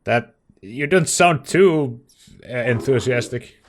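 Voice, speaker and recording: A man's voice: medium pitch, slow pace, a crowd in the background. A man giving casual let's play commentary, reacting to the game in hesitant, muttered asides.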